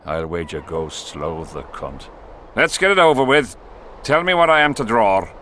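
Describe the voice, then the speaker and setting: A haughty, crude warrior king in a video game. His lowish voice swings widely in pitch as he commands with blunt scorn. There is faint noise behind him. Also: big pitch swings, normal speed, bright, forceful tone. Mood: crude, impatient. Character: crude, arrogant, imperious male warrior king.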